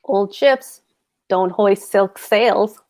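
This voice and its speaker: A woman's voice: mid-range voice, drawn-out words, a little mellow. A woman reading a smug, philandering married landlord in a play, speaking in a blunt, coaxing, suggestive way. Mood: teasing, brazen. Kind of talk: play table read.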